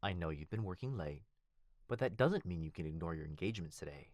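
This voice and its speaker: A man playing a devoted head butler who speaks formally and fondly, with gentle teasing, in an ASMR roleplay. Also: sits low, lively intonation, quick pace, no echo, studio quality. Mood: gently coaxing. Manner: formal, deferential, fond, softly teasing. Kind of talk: ASMR boyfriend roleplay, scripted acting.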